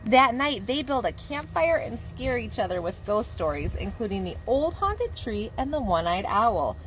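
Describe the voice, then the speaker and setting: A woman preschool teacher reading a picture book aloud to young children in a warm, expressive storytelling manner. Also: on the high side, normal speed, a little hiss or hum, poor audio.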